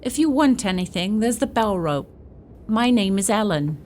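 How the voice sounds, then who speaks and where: A woman's voice: medium pitch, lively intonation, moderate pace, a little mellow, natural voice. An actress playing an elderly servant woman in a radio drama, deferential, grave and matter-of-fact. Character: long-serving elderly female housekeeper, deferential, grave. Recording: faint background noise.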